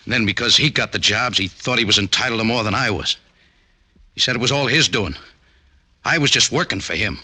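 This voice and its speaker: An actor playing a bitter, resentful working-class factory partner in an old radio detective drama, blunt and aggrieved. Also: male voice, lowish voice, brisk, bright, forceful tone, dry recording. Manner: aggrieved, blunt, ungrammatical working-man speech. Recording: husky-sounding old radio broadcast.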